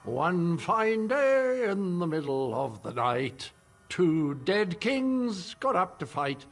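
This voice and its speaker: Slow, high-voiced whimsical rhymester. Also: male voice, very animated, faint music behind, heavily acted. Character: eccentric storyteller reciting a nonsense rhyme. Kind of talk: slow theatrical verse recital in a game.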